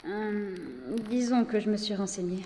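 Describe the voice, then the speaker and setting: A woman's voice: mid-range voice, steady tone, moderate pace, dark tone, slight background noise, lightly acted. A woman playing a clever, spirited young enslaved Athenian in an audio drama, speaking in an articulate, earnest way. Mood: businesslike. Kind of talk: French audio drama acting. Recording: long reverberant hall echo.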